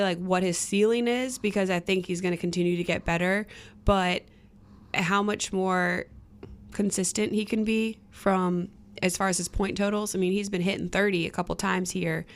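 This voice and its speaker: A woman giving casual sports analysis as a reporter on a roundtable panel. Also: medium pitch. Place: studio roundtable.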